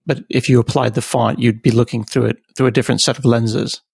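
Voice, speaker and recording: A man's voice: mid-range voice, little pitch movement, fairly quick, creaky, a little mellow, breathy edge, dry recording. A man hosting an interview podcast, talking in a conversational presenter's way. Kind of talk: conversational tech interview with sponsor read.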